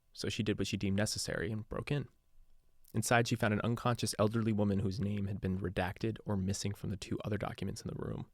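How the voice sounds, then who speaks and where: A man plays a radio journalist telling an island mystery as scripted audio documentary. His voice is very low and dry, close to the mic. He is sober and reflective, with a wry matter-of-fact edge. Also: a little mellow, dry recording, natural voice. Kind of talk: scripted first-person documentary narration.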